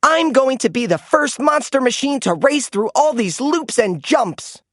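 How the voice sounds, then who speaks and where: Narrator doing a very high, excited stunt truck. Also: measured pace, dry recording, clean studio sound, heavily acted. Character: friendly male stunt monster truck, showy and sweet. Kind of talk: children's storybook character voice.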